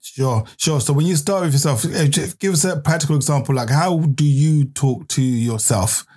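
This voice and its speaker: A man's voice: a little high, normal speed, a little mellow. Chatty male podcast host. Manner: chatty, laughs often, restarts sentences. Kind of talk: podcast interview.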